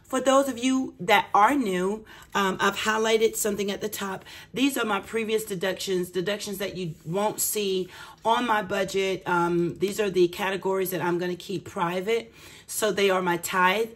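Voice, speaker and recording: Chatty woman with slightly raspy voice. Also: mid-range voice, fairly even intonation, normal speed. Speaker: budgeting vlogger. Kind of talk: casual explanatory chat to viewers.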